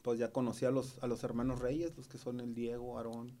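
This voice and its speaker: Middle-aged Mexican man, guest on a casual podcast, with an unhurried, halting delivery that is full of street slang and fillers. His pitch sits mid-range for a man. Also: level tone, warm tone. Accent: Mexican Spanish.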